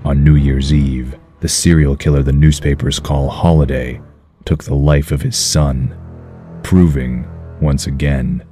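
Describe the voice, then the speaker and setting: A man playing a grim masked vigilante, narrating a noir comic drama in a terse, deadpan way. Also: rumbling low, measured pace, soft background music. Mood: grim, brooding.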